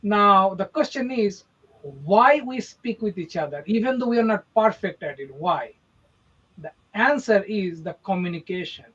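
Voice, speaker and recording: A man's voice: sits high, expressive, moderate pace, fairly bright tone, highs cut off. A man from India with an Indian accent, a real estate agent hosting a casual motivational chat, speaking emphatically and often checking in with "okay?".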